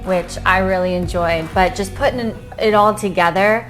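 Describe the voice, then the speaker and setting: A woman's voice: mid-range voice, moderate pace, music in the background. A middle-aged woman co-hosting a casual vlog chat, talking with enthusiasm and supportive agreement. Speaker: co-host of a couple's book-review vlog.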